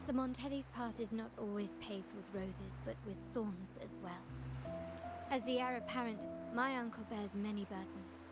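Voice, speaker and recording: An actress playing a refined, guarded young heiress in a video game, speaking in an elegant, formal and composed manner. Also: female voice, medium pitch, moderate pace, lightly acted. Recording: music behind the voice. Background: loud game music.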